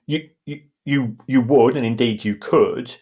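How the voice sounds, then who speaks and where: A man's voice: mid-range voice, measured pace, a little mellow, husky. A man co-hosting a podcast, explaining football finance in a casual, talky way, often restarting his sentences. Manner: explanatory, many restarts and repeated words. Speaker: football finance pundit, co-host.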